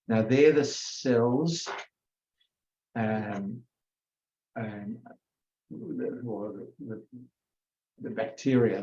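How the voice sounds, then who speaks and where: Slow, halting older man lecturing. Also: lowish voice, dark tone. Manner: halting, many fillers and self-corrections. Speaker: biology lecturer. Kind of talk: informal lecture with slides. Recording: echoey room, dull lo-fi sound.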